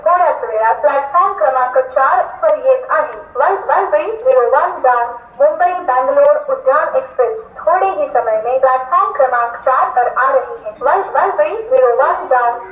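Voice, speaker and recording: A woman with an Indian accent making formal, measured railway station announcements in a formulaic, word-by-word delivery. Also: sits high. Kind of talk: formulaic station announcement, repeated in several languages. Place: railway station, over loudspeakers. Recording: echoey, tinny public-address loudspeaker.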